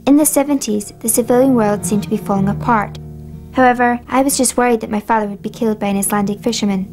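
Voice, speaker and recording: A woman's voice: on the high side, steady tone, fast talker. Reflective woman narrating over music. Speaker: narrator and filmmaker. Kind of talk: documentary narration.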